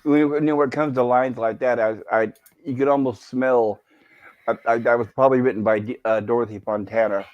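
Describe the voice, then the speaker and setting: The sound is dry and slightly muffled, lo-fi stream audio. A man of middle pitch talks casually as a co-host, haltingly repeating words as he works out a thought. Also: fairly even intonation, darkish tone, no room sound, soft background music. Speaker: co-host of an online review stream. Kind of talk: casual fan discussion.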